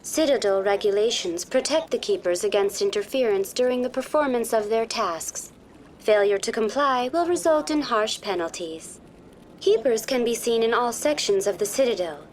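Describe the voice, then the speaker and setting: A woman voicing a polite virtual tour guide terminal in a video game, formal, courteous and measured in scripted informational narration. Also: fairly high pitch, expressive, lightly acted, poor audio. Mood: polite, informative.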